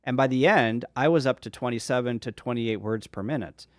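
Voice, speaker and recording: A man's voice: medium pitch, brisk, no echo, studio quality. A man joining a casual livestream chat as a panelist, talking enthusiastically and rambling with many fillers.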